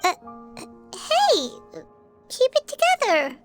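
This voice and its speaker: A woman voicing a small, childlike, excitable floating companion in a game, with a squeaky voice and music behind. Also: slow, exaggerated voice. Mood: startled. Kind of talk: animated game voice acting.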